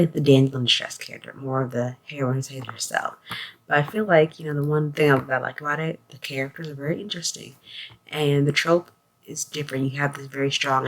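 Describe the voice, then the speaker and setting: A woman's voice: low voice, a bit bright, low-quality recording. A woman giving a casual, conversational review as a host, in loose phrasing peppered with filler phrases. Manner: conversational, loose phrasing, often saying "like" and "you know". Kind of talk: casual spoken review with a scripted synopsis read at the start.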